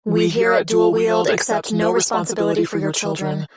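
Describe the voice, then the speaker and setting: A woman's voice: fairly low pitch, a little fast, loud music behind the voice. A woman reading a scripted content warning in a dry, mock-stern way.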